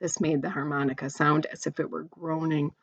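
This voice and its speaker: Lady doing an expressive kids story read-aloud, chatty with the little listeners, kinda lo-fi and dry. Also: deep voice, a little fast, vocal fry, breathy edge, dry recording. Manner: chatty asides with questions to young listeners. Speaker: teacher or parent reading aloud to children.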